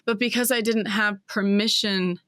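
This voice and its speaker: Woman, 20s, interview guest; smooth, close dry sound, reflective and searching delivery. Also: mid-range voice, steady tone, normal speed, fairly bright tone, dry recording. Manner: thoughtful, searching, with many fillers and restarts.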